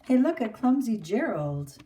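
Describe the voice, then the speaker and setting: A woman's voice: a little high, sing-song, unhurried, mellow, distant mic, pitched above the performer's own voice. Woman reading as brash, exclaiming storybook jungle animals. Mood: sneering.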